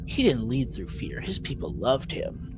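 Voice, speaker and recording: A man co-hosting a podcast in a casual recap style, haltingly repeating and rewording his phrases. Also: medium pitch, huge pitch swings, music in the background, rough recording. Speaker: podcast co-host.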